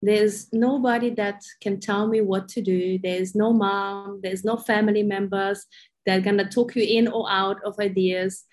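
Woman from ukraine, podcast guest, encouraging, a bit phrasing, mid pitch. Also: fairly even intonation, a little slow. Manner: warm, encouraging, slightly non-native phrasing. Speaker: guest, astrology coach. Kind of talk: conversational interview.

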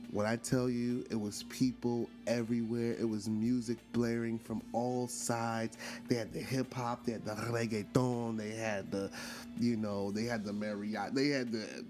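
A man's voice: mid-range voice, darkish tone. A man in his forties from Brooklyn, hosting a casual storytelling monologue, chatty with lots of fillers and restarts, often turning to ask his listeners questions. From Brooklyn, New York. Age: 40s. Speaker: podcast host.

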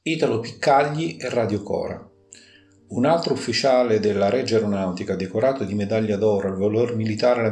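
A man narrates history from a script. His voice sits on the low side. The recording has noticeable room echo, with faint music underneath. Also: a little mellow. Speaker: podcast contributor telling a war history.

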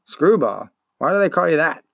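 A man voicing a gruff, scornful grown man from a storybook, questioning bluntly and mockingly. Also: fairly high pitch, sing-song, moderate pace, no echo, studio quality, voice pushed up, heavily acted. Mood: blunt, curious. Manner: interrogating, mocking.

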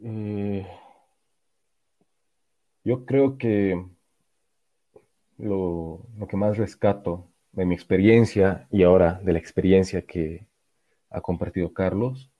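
Low-voiced Bolivian man, lo-fi sound. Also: slow pace, long pauses. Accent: Bolivian Spanish. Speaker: podcast guest. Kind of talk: casual podcast chat. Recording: dull lo-fi sound.

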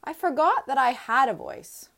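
A woman in her thirties reading her own personal essay aloud in an earnest, reflective, measured way. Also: high voice, big pitch swings, creaky, fairly bright tone. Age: 30s.

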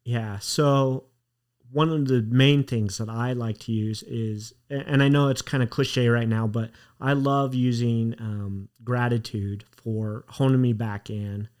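A man hosts a podcast in a relaxed, easygoing way. His voice sits at a middle pitch. He offers short, encouraging replies and plain reflections. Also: a little slow. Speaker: podcast host. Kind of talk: casual interview chat.

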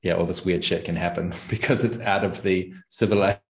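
A young man, talking casually and thoughtfully as an interview guest, often pausing with ums and small laughs. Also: low-pitched, fairly even intonation. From New Zealand. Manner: thoughtful, searching for words, frequent ums and laughs. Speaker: podcast guest and channel host.

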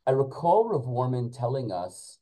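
A man in his forties speaks in a deeply soft-edged, mellow tone at a middling pitch. He is an interview guest reminiscing, and he feels his way through each thought, stopping and backtracking as he hunts for words. Hebrew and Yiddish religious terms are woven through his talk. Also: big pitch swings, low-quality recording. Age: 40s. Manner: halting, searching for words, backtracking. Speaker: podcast guest, school principal and rabbi.

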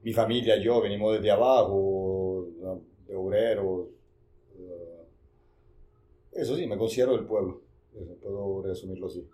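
A man's voice: lowish voice, measured pace, warm tone. Rambling Italian-born man in his forties. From Italy, raised in Venezuela. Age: 40s. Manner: rambling, trails off, repeats himself. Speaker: podcast guest. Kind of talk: casual conversation.

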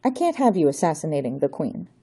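A woman's voice: low voice, very animated, fast, mellow, breathy edge, exaggerated voice. Woman doing a desperate young hero. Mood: defiant.

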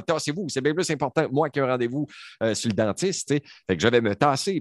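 A man's voice: medium pitch, dry recording, studio quality. A man, giving his opinions on a panel in a rambling, emphatic way with many fillers. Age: 40s.

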